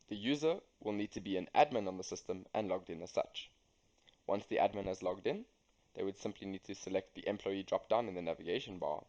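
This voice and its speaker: A young man with a low-pitched voice narrates a software walkthrough. He reads from a script, step by step, as a student presenting a system. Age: young adult.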